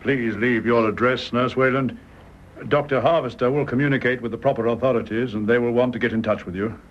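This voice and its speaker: An actor playing a stern, restrained British army major in a radio drama, formal and deliberate. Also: male voice, mid-range voice, steady tone, lightly acted. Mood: coldly formal. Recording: muffled radio recording, clear background noise.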